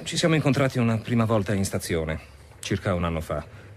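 An actor playing a courteous, effusive young engineer in a radio drama, with polite, slightly hesitant phrasing. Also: male voice, on the low side, lively intonation, a little fast, slight background noise, natural voice. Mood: uneasy. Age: young adult. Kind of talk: naturalistic radio drama acting.

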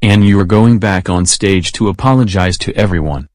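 Man as a stern, low-voiced father. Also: normal speed, darkish tone, no room sound, studio quality, close to an everyday voice. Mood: stern, angry. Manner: firm, admonishing. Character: stern father figure scolding a boy.

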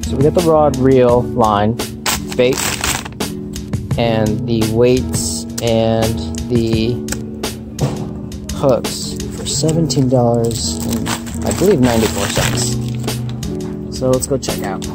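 A young man talking casually to the camera in a relaxed, chatty way with lots of fillers, first in a store and then from his car. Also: medium pitch, slow pace, long pauses, loud music behind the voice. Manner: relaxed, chatty, self-mocking, many fillers. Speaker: fishing vlogger. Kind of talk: casual vlog talk to camera. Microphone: camera mounted on dashboard.

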